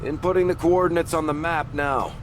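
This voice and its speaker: Actor as no-nonsense officer, noisy background. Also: male voice, fairly high pitch, fairly even intonation, a little fast, natural voice. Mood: serious, urgent. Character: serious, no-nonsense male military officer advising a commander. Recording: game audio with clear background noise.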